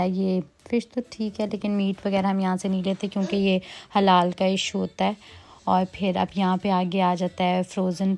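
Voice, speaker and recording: A woman's voice: mid-range voice, gravelly, mellow. A young adult woman narrating a shopping vlog as a casual voice-over, chatty and listing items with frequent fillers. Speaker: vlogger. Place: quiet indoor room, voice-over.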